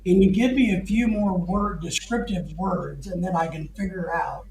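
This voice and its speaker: A man's voice: sits high, moderate pace, creaky, a little mellow. A man hosting a livestream who jokes and teases while he reads chat comments aloud. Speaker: livestream host. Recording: echoey room.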